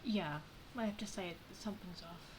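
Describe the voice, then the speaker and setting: A woman's voice: lowish voice, normal speed. Casual woman gamer, noisy recording. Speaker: player reading along with a game. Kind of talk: casual game playthrough commentary and reading aloud.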